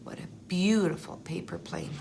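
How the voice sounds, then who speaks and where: A woman reading a picture book aloud expressively, pausing to comment on the pictures. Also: fairly low pitch, vocal fry, in a whisper. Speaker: school superintendent reading aloud.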